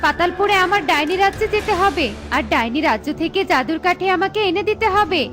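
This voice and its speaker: A woman's voice: very high-pitched, expressive, a little fast, fairly bright tone. A woman voicing a stern, commanding old witch in a cartoon who scolds trespassers and sets them a task. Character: stern but fair old witch, robbed of her wand. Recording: with light background music.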